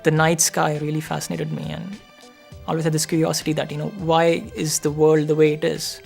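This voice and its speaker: A man's voice: fairly high pitch, completely flat delivery, brisk, creaky, darkish tone, faint music behind. A young man from New Delhi with an Indian accent, a doctoral student giving a reflective interview account. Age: young adult.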